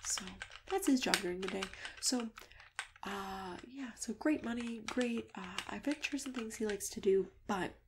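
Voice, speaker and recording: A woman in a soft-spoken ASMR role-play, often dropping into a whisper, confiding gently with pauses and small murmurs as she chats like a matchmaker. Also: fairly high pitch. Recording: clear background noise with keyboard typing. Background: typing and clicking.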